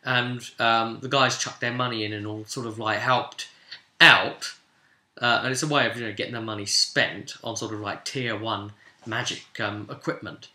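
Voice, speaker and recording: Male game master, very bright and forceful voice, rambling casual session recap, roomy echo. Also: on the low side, steady tone, normal speed. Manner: rambling, chuckling storyteller who acts out dialogue. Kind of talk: casual vlog recap of a tabletop role-playing session. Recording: echoey room sound.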